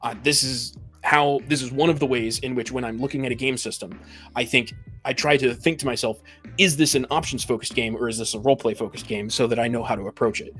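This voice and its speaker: A man's voice: mid-range voice, steady tone, fast, fairly bright tone. Analytical man over background music. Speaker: podcast panelist. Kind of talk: analytical casual discussion.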